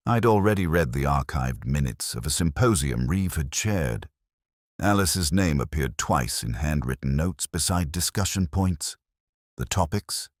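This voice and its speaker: Very deep-voiced man narrating a detective story. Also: no echo, studio quality. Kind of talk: scripted first-person detective story narration.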